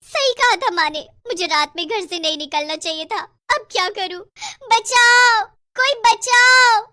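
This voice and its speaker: A woman's voice: very high-pitched, measured pace, sharp tone, pitched above the performer's own voice, full character voice. A woman voicing a terrified young girl screaming for help in a horror cartoon story. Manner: screaming, panicked. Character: terrified young girl caught alone at night.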